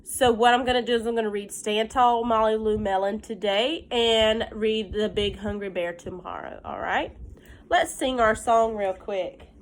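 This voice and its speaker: A woman's voice: on the high side, fairly even intonation, moderate pace. A woman teacher talking and reading aloud to young children, explaining things simply with little checking questions, sounding a bit congested from pollen. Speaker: elementary school teacher.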